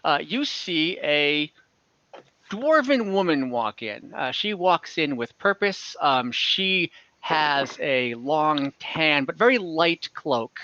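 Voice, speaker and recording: A man's voice: on the high side, animated, slow pace, bright, forceful tone, no echo. A man casually narrating a tabletop game as game master over a video call. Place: home room, indoors, on video call. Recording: dull, lo-fi video-call audio.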